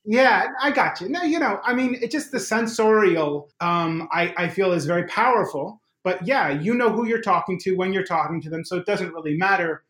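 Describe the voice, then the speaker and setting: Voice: medium pitch, expressive, moderate pace, hardly any pauses, a bit bright, roomy sound. A podcast host asking long, meandering questions in a casual interview.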